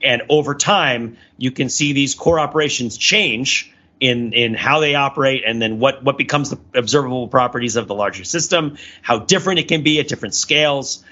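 A man's voice: mid-range voice, bright, forceful tone, lo-fi sound. A man co-hosting a casual analytical podcast, talking in a discursive way and thinking aloud as he qualifies his points. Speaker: podcast co-host.

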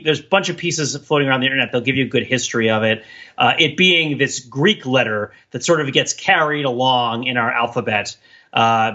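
Give a man with a bright, forceful voice and a middling pitch, talking casually as a podcast co-host. He explains in loops and digressions, often checking with "right?", on a slightly dull recording. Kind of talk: casual discussion.

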